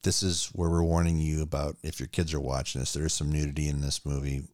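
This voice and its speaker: A man co-hosting a casual movie podcast, retelling scenes with animated play-acting. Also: rumbling low, quick pace, no room sound, clean studio sound. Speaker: podcast co-host.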